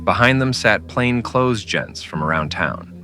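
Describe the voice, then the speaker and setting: A man narrating a scripted western in old-time radio style, with dramatic, deliberate storytelling. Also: lowish voice, lively intonation, pushed and bright, faint music behind.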